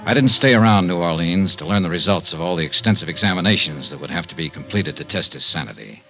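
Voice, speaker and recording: A hard-boiled American investigator in a 1950s radio detective show. His lowish voice swings widely in pitch over clipped, punchy phrases, wry and world-weary, with music on the vintage recording. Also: lively intonation, fast talker, fairly bright tone, poor audio.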